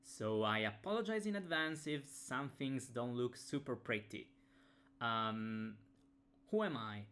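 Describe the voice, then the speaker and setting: A man with an Italian accent, Italian his first language, talking through an unboxing on a webcam, with many pauses and fillers. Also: medium pitch, expressive, fairly bright tone. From Italy. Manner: hesitant, many fillers and restarts. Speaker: game designer reviewing a game. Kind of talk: casual unboxing talk.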